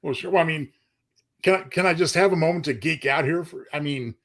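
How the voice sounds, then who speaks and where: A man's voice: fairly high pitch, brisk. A man co-hosting a show in casual chat, working things out aloud a little tentatively. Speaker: show co-host.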